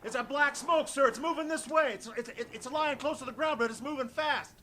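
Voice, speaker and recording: An actor playing a panicking young soldier on artillery watch in a radio drama, urgent and stammering, on a noisy old recording. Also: male voice, extremely high pitch, fairly quick, sharp tone. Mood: panicked.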